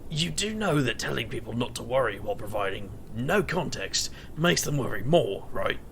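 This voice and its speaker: Man playing an animated, exasperated guy with creaky edge. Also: medium pitch, moderate pace, slight background noise. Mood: concerned. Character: anxious, indignant, caring young man, easily exasperated. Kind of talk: audio drama acting.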